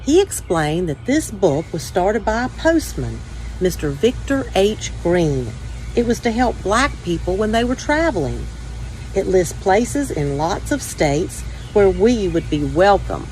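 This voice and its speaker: A woman's voice: medium pitch, very animated, unhurried, a little mellow, slight background noise, poor audio. A woman reading a children's storybook aloud as a first-person narration. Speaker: story time reader.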